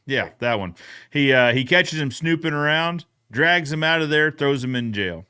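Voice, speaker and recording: A man's voice: on the high side, expressive, normal speed, sharp tone, clean tone. A man hosting a casual live recap show, bantering in a jokey, folksy way and often repeating his phrases.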